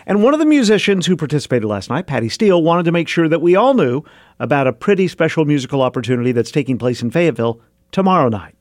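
A man's voice: fairly high pitch, big pitch swings, quick pace, darkish tone, no echo. A man hosting a public radio magazine show, speaking close to a studio mic.

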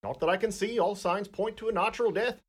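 Small-town Irish coroner, radio play, somewhat high pitch, pompous, courtly, deliberate phrasing. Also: male voice, breathy edge. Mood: matter-of-fact, assured.

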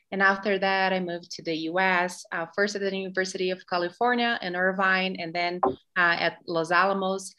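A woman from Brazil introduces her work with a bright, forceful tone. She speaks fluently, with only a few light fillers. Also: mid-range voice. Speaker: staff scientist. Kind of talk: video call introduction.

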